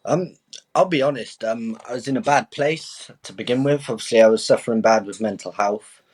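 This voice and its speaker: A man's voice: mid-range voice, moderate pace, a little mellow, slightly rough, no room sound, low-quality recording. A young man speaking as a guest boxer in a podcast interview, talking openly and frankly, often starting with an honest aside. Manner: open, frank, chatty, restarts with "I'll be honest".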